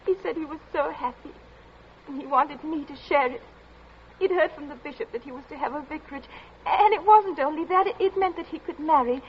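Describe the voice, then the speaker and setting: A woman playing a frightened, distraught young daughter in a radio drama, halting, stammering and tearful as she answers her stern father. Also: sits high, natural voice. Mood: hesitant, fearful. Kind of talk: 1940s radio drama acting. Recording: muffled, noisy old radio recording.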